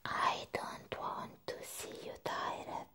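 A woman voicing a sweet, adoring vampire in a whispered ASMR roleplay, speaking tenderly and repeating soft words to comfort a human friend. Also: slow pace. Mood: caring, soothing. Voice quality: breathy unvoiced whisper.

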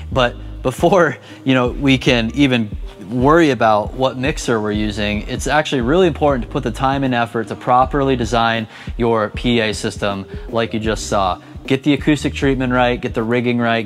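A man in his thirties giving a conversational, practical tutorial to camera, speaking into a lapel mic. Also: medium pitch. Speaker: church audio instructor. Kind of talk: explanatory tutorial talk to camera. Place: indoors, equipment room and church hall. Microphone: clip-on lapel mic.

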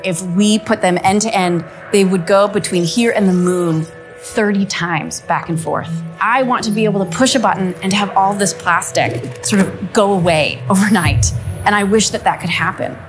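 A woman in her thirties, a climate advisor, speaking earnestly to camera in a plain studio, laying out points one by one. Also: medium pitch. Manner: earnest, list-like, upbeat. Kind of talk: to-camera interview.

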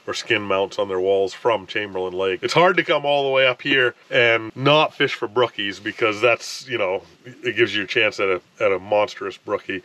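A man in his thirties talking casually to camera from inside an ice fishing tent, rambling with restarts and filler words. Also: on the low side. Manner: relaxed, rambling, frequent restarts and "you know". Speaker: fishing vlogger. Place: ice fishing tent on a frozen lake, wind outside.

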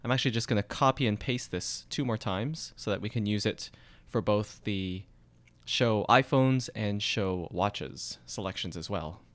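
A man explaining code step by step in a screencast tutorial, steady and methodical. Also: fairly low pitch, unhurried, no echo. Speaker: programming tutorial instructor. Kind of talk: screencast coding walkthrough.

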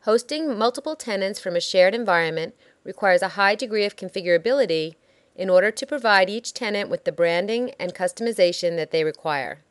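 A woman reading a scripted software demo narration in a measured, instructional way. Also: mid-range voice, animated, no echo.

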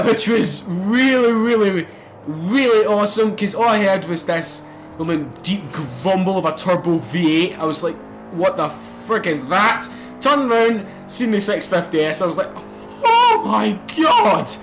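A young man commentating a racing game let's play, excitable and swearing, bursting into loud exclamations. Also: sits high, measured pace, soft background music. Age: young adult. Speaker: gaming channel host.

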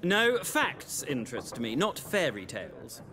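An actor playing a pompous, excitable male scholar in a video game, speaking in a flowery, theatrical way. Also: mid-range voice, unhurried, a crowd in the background. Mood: dismissive, dramatic. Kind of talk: video game cutscene acting.

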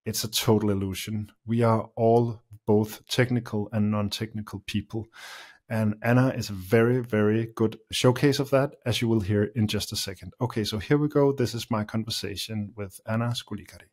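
A middle-aged man hosting a podcast, introducing the episode and questioning his guest in a halting way with many uh fillers, close on a studio condenser mic. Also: fairly low pitch, fairly even intonation, a little mellow. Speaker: podcast host. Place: small room with curtain backdrop. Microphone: studio condenser mic with pop filter.

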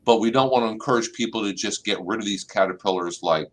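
A middle-aged man hosting a live online plant clinic from an office over a video call, explaining calmly and saying y'all. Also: lowish voice, quick pace. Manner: relaxed, explanatory, uses y'all. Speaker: extension agent and plant clinic host. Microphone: webcam on a video call.

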